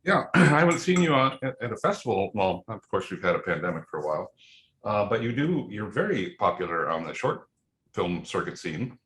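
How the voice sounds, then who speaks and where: A man hosts a casual interview, teasing his guest playfully between questions. His voice is low but moves a lot in pitch. The room around him rings with echo. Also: sing-song, brisk, a bit bright, slight background noise. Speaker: interview host. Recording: echoey room.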